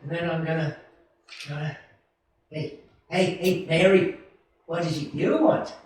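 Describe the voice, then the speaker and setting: A man playing an idealistic, restless young small-town American in a radio play, with an excitable, halting, folksy way of talking. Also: fairly high pitch, lightly acted. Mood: dreamy, eager. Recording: roomy, distant stage recording, faint noise.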